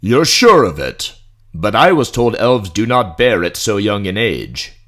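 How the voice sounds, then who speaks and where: A man's voice: fairly low pitch, very animated, unhurried, a bit bright, exaggerated voice. A man voicing a pompous, commanding emperor with a short temper in a fantasy audiobook drama. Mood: startled.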